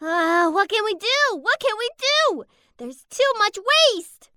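A woman voicing a small, excitable female squirrel rescuer in a children's story, exclaiming in a childlike way. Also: extremely high pitch, drawn-out words, no room sound, studio quality, heavily acted. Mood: anxious. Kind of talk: children's animated story acting.

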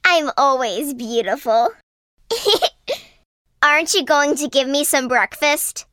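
Woman as a high, vain, girlish flower. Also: animated, slow pace, sharp tone, no room sound, studio quality, heavily acted. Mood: preening. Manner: coy, haughty. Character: vain, demanding young female flower. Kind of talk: acted children's audio fairy tale.

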